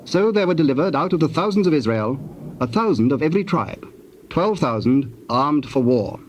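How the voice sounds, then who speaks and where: A man narrating scripture from a script in a formal, measured, declamatory manner. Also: on the high side, big pitch swings, slight background noise.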